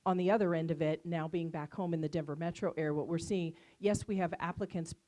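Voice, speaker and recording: A woman, a superintendent, speaking in a measured, conversational way on a panel. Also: on the low side, fairly even intonation, mellow. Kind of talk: panel discussion.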